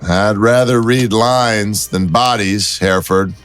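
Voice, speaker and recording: An actor playing a wry, persistent city detective who talks in dry, teasing banter. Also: male voice, on the low side, fairly even intonation, slow pace, fairly bright tone, smooth, soft background music.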